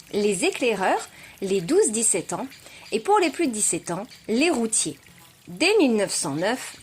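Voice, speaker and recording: A woman's voice: a little high, slight background noise. A woman hosting a podcast, delivering scripted educational narration with playful asides, speaking informally and directly to the listener. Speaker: podcast host.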